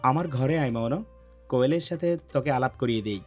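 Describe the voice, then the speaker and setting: Casting: man to play protective, bossy young male lead in a romance audio story, average pitch, firm delivery. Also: a little fast, a little mellow, soft background music, lightly acted. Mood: casually commanding.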